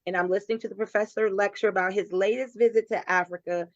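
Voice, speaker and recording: A woman's voice: medium pitch, steady tone, quick pace, no room sound, muffled recording. A woman in her forties from Kentucky, a poet chatting in an interview with frequent laughs and restarts. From Covington, Kentucky. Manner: chatty, laughs often, restarts when telling a story. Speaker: guest poet. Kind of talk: casual interview talk.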